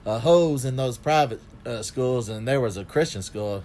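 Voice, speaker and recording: A man's voice: mid-range voice, moderate pace, darkish tone, slight background noise. A man co-hosting a recap podcast in casual banter, given to joking, off-topic asides. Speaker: podcast co-host.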